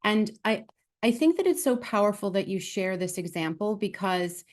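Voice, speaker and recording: A woman's voice: mid-range voice, lively intonation, normal speed. A woman hosting a podcast, explaining her view thoughtfully and affirmingly, often checking in with "right?". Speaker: podcast host. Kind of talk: conversational podcast commentary.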